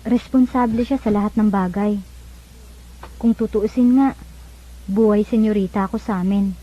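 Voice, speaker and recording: A woman's voice: mid-range voice, fairly even intonation, moderate pace, natural voice. A woman acting a troubled, discontented wife in a Filipino radio drama, introspective in manner. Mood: troubled, defensive. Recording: dull radio recording with background noise.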